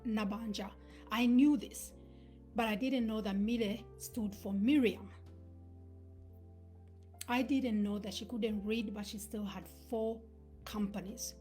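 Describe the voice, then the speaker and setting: A woman in her thirties who grew up between Uganda and Scandinavia delivers a motivational live talk. Her voice sits at a middle pitch, earnest and encouraging, and she slips into a whisper to stress a point. Faint music plays underneath. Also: a little mellow. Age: 30s. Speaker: motivational speaker.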